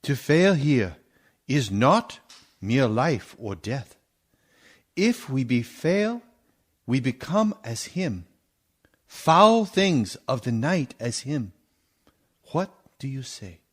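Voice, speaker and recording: An actor playing a grave, commanding older professor and vampire hunter in a theatrical radio play, with solemn, oratorical delivery and stilted phrasing. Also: male voice, mid-range voice, big pitch swings, slow pace, pauses often. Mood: grave, warning. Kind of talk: theatrical radio drama acting.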